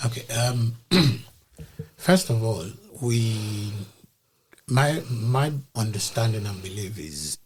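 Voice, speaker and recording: A man who is a podcast guest, explaining ideas in a deliberate way and using everyday comparisons. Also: fairly low pitch, expressive, a little hiss or hum.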